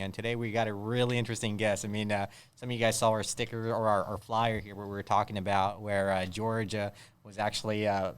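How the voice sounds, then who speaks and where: Fast-talking American man with a clear, low voice. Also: a bit bright. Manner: rambling, repeats words. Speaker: show host. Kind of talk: casual talk show hosting.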